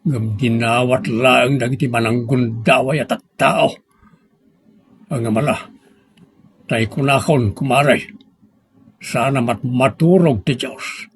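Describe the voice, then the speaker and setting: A man playing an aggrieved, struggling pig owner in an Ilocano drama, speaking plaintively and bitterly in a husky voice. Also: medium pitch, steady tone, slow pace, long pauses. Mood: bitter, indignant. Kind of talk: Ilocano audio drama acting.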